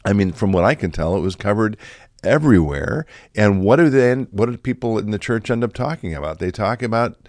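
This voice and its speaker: A man in middle age with a low, slightly raspy voice talks to his listeners like a pastor in a podcast, circling back with "um" and restarts. The recording is dry and close, with no room around him. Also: animated, brisk, a little mellow, no echo. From Chicago area, USA. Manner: conversational, many fillers and restarts. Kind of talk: reflective podcast talk.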